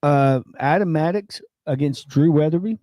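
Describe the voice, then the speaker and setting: A man's voice: a little high, normal speed, warm tone, no room sound, studio quality. A man, co-hosting a casual wrestling talk show and telling a rambling story, fighting a lingering cold. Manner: rambling, many fillers, chuckles at his own story. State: has a lingering cold, keeps losing his voice. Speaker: co-host of a local wrestling and sports show.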